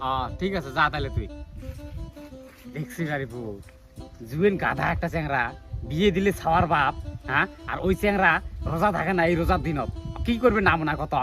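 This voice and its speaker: A man playing a cranky, easily offended fasting elder in a comedy skit, hectoring with repeated questions in a northern Bangladeshi Rangpuri accent. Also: a little high, animated, music in the background. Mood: nagging, suspicious. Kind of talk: comedy skit acting in rural dialect.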